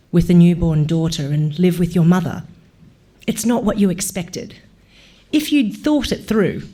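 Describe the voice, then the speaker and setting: An Australian woman narrates literary fiction in a soft-edged, mellow tone. Her delivery is very slow and deliberate, and her pitch sits a little low. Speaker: actor reading fiction aloud. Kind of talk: literary short story narration.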